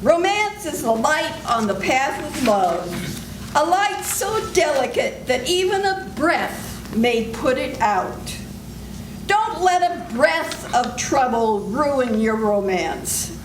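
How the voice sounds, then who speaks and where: A woman's voice: sits high, very animated, slow pace. A woman reading a 1940s-style radio announcement and commercial, with emphatic, persuasive delivery. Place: senior center stage. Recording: echoey hall with noise.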